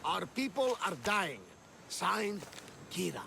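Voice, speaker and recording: An actor playing an excitable older sailor in a video game, telling things theatrically in a Greek accent. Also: male voice, high-pitched, slow, vocal fry, background noise, heavily acted. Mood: theatrical, reading. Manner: theatrical, jovial storytelling. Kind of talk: cinematic video game acting. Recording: faint background ambience.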